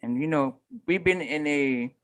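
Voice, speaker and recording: A man's voice: a little high, measured pace, fairly bright tone, clear, resonant tone. A man co-hosting a casual podcast, rambling and filling gaps with "you know.". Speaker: podcast co-host. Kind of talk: casual chat.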